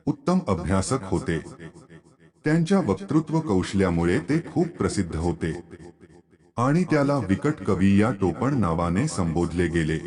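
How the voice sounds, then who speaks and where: A man's voice: deep voice, darkish tone. A man reading a scripted biographical narration as a storyteller.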